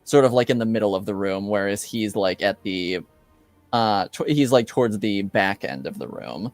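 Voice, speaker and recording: A man speaking as game master, improvising descriptions for a tabletop game in a hesitant, halting way. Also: lowish voice, animated, no room sound, soft background music.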